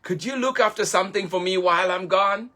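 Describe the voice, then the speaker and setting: A man's voice: high-pitched, a little fast, pushed and bright. A man reading the part of an easygoing uncle in a storybook, explaining things patiently. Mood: friendly. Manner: chatty and explaining.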